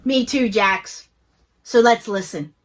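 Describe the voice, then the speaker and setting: A woman hosting a children's storytime, reading aloud in an expressive, deliberate storytelling way, with music behind her. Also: fairly high pitch. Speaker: host and story reader. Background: background music.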